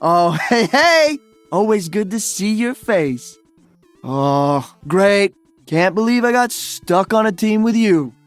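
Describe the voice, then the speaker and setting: Upbeat cocky young dj hero in a game, breezy slang, super animated, music under it. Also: male voice, high voice, slow, no echo, full character voice. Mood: excited, then annoyed. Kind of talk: video game character voice lines.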